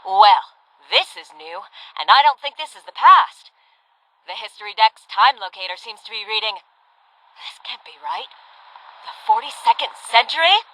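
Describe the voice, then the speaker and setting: A woman playing a plucky, quick-witted time-traveling adventurer in a sci-fi radio play, thinking aloud wryly. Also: on the high side, fairly bright tone, dry recording, low-quality recording.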